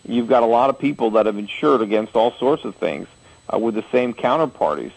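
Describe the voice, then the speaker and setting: A man's voice: mid-range voice, expressive, a little fast, vocal fry, husky, poor audio. A man in his forties hosting a call-in radio show, answering callers at length with homely analogies and frequent restarts. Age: 40s.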